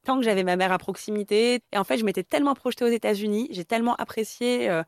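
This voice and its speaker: A woman's voice: fairly high pitch, quick pace, smooth, dry recording, clean studio sound. A woman in her thirties from Paris, speaking candidly in a conversational way as an interview guest. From Paris, France. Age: 30s. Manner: candid, conversational, many fillers.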